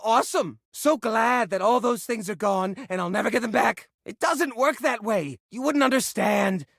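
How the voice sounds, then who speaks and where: A man's voice: sits high, normal speed, gravelly, a bit bright, no room sound, studio quality, heavily acted. A man playing a neurotic, possessive hoarder, a junk drawer come to life in a video game, with a theatrical, whiny, defensive delivery. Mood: bitter, accusing. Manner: theatrical, whiny, defensive, stammering when upset. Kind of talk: video game character voice acting.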